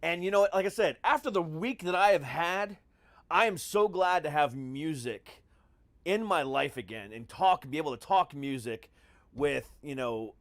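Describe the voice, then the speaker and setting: A man's voice: fairly high pitch, lively intonation, normal speed, no echo. A middle-aged American man hosting a podcast in casual, profane talk, with a brash, mock-grandiose showman's manner. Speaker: podcast host.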